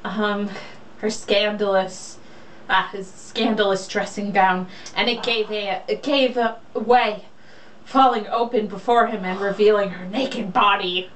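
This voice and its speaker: A woman's voice: mid-range voice, steady tone, a little slow. A young woman reading a romance novel aloud casually, in a mocking, irreverent way. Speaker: reader. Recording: echoey room, distant mic, faint noise.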